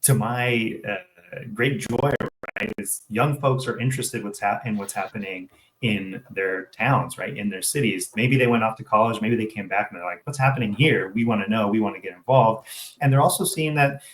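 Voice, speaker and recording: A man's voice: lowish voice, moderate pace, roomy sound, poor audio. A man co-hosting a casual local discussion show, talking reflectively and often checking in with "right?". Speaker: co-host of a local civic affairs show.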